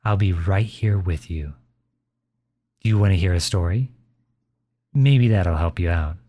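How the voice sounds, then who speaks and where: A man playing a gentle, caring middle-aged father in an intimate ASMR roleplay, speaking tenderly and reassuringly to his child. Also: deep voice, measured pace. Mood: tender, encouraging. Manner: tender, reassuring, softly chuckling.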